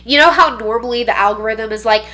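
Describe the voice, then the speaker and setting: Congested, bright-voiced woman vlogger. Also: a little high, quick pace. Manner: blunt, profane and emphatic; slangy, says "bro" and "dude". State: congested, stuffy nose. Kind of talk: casual monologue to camera.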